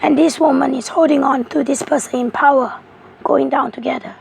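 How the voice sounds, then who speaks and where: A woman with a hoarse, strained voice slowly explaining tarot cards. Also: high voice, fairly even intonation, darkish tone, a little hiss or hum. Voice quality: rough, strained voice. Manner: slow, halting, repetitive explaining. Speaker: tarot card reader.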